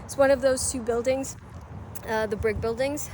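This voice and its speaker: A woman's voice: on the high side, fairly even intonation, moderate pace, a little mellow. A young woman in her twenties chatting casually as a vlogger while out in a city, rambling with lots of fillers. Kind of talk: casual travel vlog. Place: outdoors in a city, partly riding a bike. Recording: outdoor street noise and traffic. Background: city ambience and passing vehicles.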